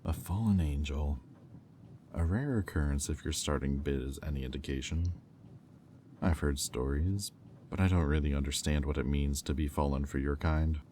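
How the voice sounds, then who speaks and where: A man playing a haughty, menacing demon in roleplay audio, speaking in a condescending, deliberate, taunting drawl. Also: a bass voice, warm tone, slight background noise. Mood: curious, coaxing. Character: haughty, menacing male demon buyer, coolly cruel and mocking. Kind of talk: slow, intimate roleplay audio acting.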